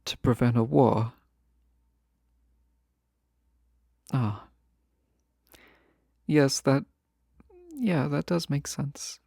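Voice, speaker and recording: A man playing a lovesick, proud fantasy ruler in a romantic audio drama, speaking formally, earnestly and with hesitant pauses. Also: mid-range voice, creaky, warm tone, breathy edge, dry recording, studio quality, exaggerated voice. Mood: subdued, thoughtful. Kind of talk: scripted romantic audio roleplay, close and intimate.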